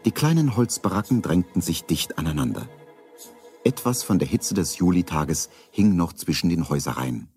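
An actor narrating a children's tale in the calm manner of a 1970s story recording. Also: male voice, low voice, moderate pace, soft background music. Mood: calm, atmospheric.

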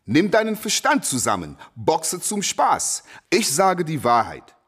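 An actor playing an outspoken male boxer, husky, exhorting in short emphatic sentences as in an interview. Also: fairly high pitch, wildly swooping pitch, measured pace, a bit bright, full character voice. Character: outspoken male boxer preaching education over fighting.

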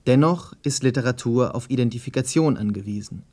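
A man working as interviewer and presenter, reading scripted commentary and questions in a formal, measured, literary manner. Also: mid-range voice, warm tone. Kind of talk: scripted radio feature narration and questions.

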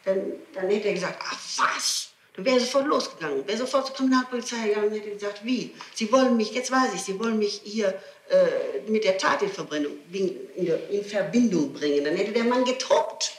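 A woman's voice: medium pitch, brisk. A woman speaking in an agitated, emphatic way and repeating herself as she explains. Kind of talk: archival interrogation recording. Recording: roomy old tape recording with faint hiss.

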